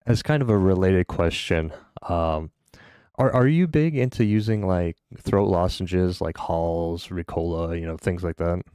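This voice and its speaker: A man's voice: deep voice, measured pace, dark tone, clean tone, no echo, studio quality. An American man hosting a casual podcast interview, rambling with many fillers. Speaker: podcast host.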